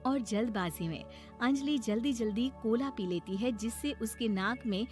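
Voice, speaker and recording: Female storyteller for children's moral tales, higher register, scripted narration over light music. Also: normal speed, a little mellow.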